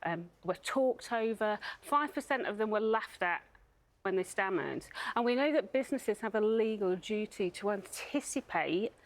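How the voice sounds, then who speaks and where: A woman's voice: mid-range voice, lively intonation, moderate pace. A woman speaking as a charity spokesperson, explaining things in a broadcast interview. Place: TV studio.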